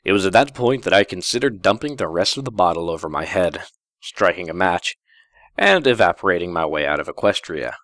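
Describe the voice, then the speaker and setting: Deep guy reading a story out loud, kinda wry and dramatic, no room sound. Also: expressive, a little fast, no room sound, studio quality. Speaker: fan fiction reader. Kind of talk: first-person dramatic story narration.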